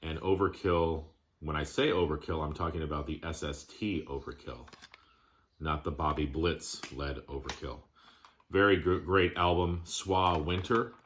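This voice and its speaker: A man giving a casual show-and-tell of his collection, plainspoken, listing items in short, halting phrases. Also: a bass voice, steady tone, fairly bright tone, slight background noise.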